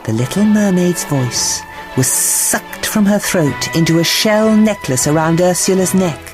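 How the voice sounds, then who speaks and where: Expressive low-voiced woman narrating a children's story. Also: unhurried, poor audio. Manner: dramatic, expressive storybook delivery. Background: music.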